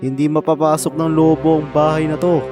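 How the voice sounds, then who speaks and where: Isang babaeng gumaganap bilang kumpiyansa at maprotektang panganay na baboy sa kuwentong pambata, matatag magsalita. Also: female voice, low voice, little pitch movement, brisk, voice pushed down, natural voice. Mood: confident, defiant. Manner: firm, self-assured. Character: confident, protective eldest brother pig. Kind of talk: children's fairy tale character acting. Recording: faint music behind.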